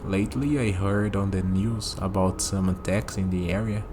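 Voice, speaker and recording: Low-voiced man acting a friendly night walker, noisy background. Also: steady tone, normal speed, lightly acted. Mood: casual, friendly. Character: friendly human man on a night walk, easygoing, musing. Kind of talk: ASMR roleplay spoken to the listener.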